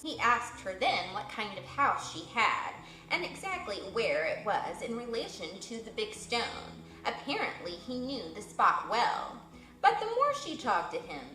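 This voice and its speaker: Expressive woman reading a storybook aloud. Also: on the high side. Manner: expressive, animated narration. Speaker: reader. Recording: echoey room, faint music.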